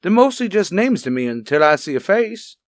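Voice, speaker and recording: A man playing a defensive, fast-talking union official with hedging, repetitive patter in a radio detective drama. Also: high-pitched, sing-song, no room sound, studio quality. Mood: flustered. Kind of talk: old-time radio detective drama acting.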